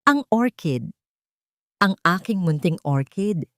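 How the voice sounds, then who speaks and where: A woman's voice: on the low side, lively intonation, slow pace, fairly bright tone, no room sound. Casting: female narrator as elderly tycoon patriarch, commanding but tender, emotional audiobook drama, close dry sound. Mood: stunned, tearful. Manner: authoritative, emotional. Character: old wealthy man, authoritative yet tenderly concerned, overcome. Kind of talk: Tagalog audiobook melodrama.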